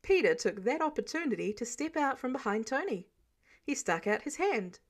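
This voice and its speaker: A woman's voice: on the high side, sing-song, normal speed. A woman reading a story aloud as scripted audiobook narration. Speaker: amateur audiobook reader.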